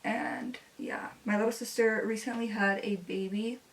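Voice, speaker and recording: A woman's voice: a little high, steady tone, normal speed, far from the mic. A woman in her twenties, a native Spanish speaker, chatting casually while doing her makeup, with many "like" fillers. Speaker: beauty vlogger.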